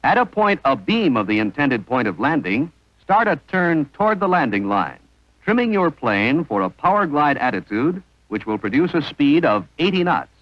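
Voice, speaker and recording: Lo-fi vintage film sound, dry room, male narrator, scripted instruction, formal, precise, mid-pitched. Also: big pitch swings, normal speed, no room sound.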